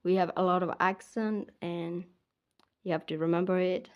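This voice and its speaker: A woman's voice: on the low side, steady tone, normal speed, smooth, lo-fi sound. A young woman from Myanmar with a Burmese accent, teaching her language in a casual lesson and patiently saying each word twice.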